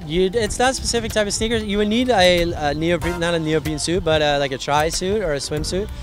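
Friendly triathlon official from Hawaii. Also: male voice, high-pitched, brisk. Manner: relaxed, friendly, explains in loose run-on sentences. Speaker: triathlon association president. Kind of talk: outdoor event interview. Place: outdoors at a race venue under tents. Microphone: handheld mic. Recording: background music at outdoor event.

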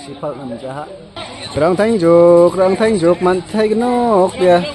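A man casually commentating a football match from the sideline outdoors, shouting encouragement and laughing often. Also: high-pitched, measured pace. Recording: crowd noise at an outdoor match. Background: crowd.

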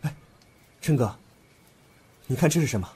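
Actor as an earnest young man, mellow and lively. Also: medium pitch, moderate pace, husky. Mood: curious. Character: earnest, thoughtful young man puzzling over clues. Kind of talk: Chinese audio drama acting.

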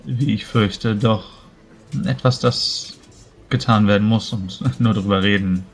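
A man's voice: on the low side, steady tone, a little slow, a little hiss or hum. A man speaking casually as a player in a tabletop role-playing game. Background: background music.

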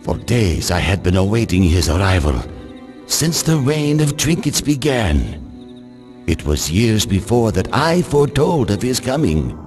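Portentous mystic prophet in a game, lowish swooping voice, music under it. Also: male voice, very animated, a little slow. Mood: reverent. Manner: portentous, dramatic storytelling. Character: solemn, reverent mystic poet prophet. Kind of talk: video game cutscene narration.

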